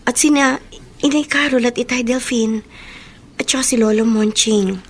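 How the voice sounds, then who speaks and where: An emotional young mother with old hurts, played by a woman in a radio drama. Her voice is high and heartfelt, with faint noise behind it. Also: steady tone, normal speed, natural voice. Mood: subdued, apologetic. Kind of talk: Filipino radio drama acting.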